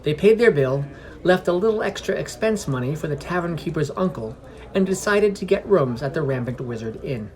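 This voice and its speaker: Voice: medium pitch, expressive, fairly quick, darkish tone. A podcast narrator reading a scripted fantasy story. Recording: music under the voice. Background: background music.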